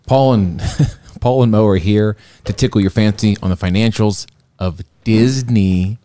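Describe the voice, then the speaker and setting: Deep-ish bassy guy cohosting a money show, jokey, teases his partner. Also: a little slow, dark tone, smooth. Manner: jokey, laughs often, teasing. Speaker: co-host. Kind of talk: casual finance chat.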